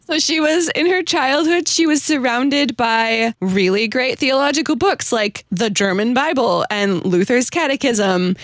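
A woman's voice: fairly high pitch, unhurried, hardly any pauses, no room sound, clean studio sound. A woman hosting a podcast, telling a researched story in a chatty, enthusiastic way with self-deprecating asides. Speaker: podcast host. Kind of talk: casual, researched storytelling to co-hosts.

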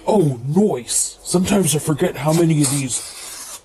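Man playing high-voiced, overly eager worship leader. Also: lively intonation, unhurried, dark tone, breathy edge, full character voice. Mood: breezy, eager. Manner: slangy, upbeat, reads letters aloud. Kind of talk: comedy radio sketch.

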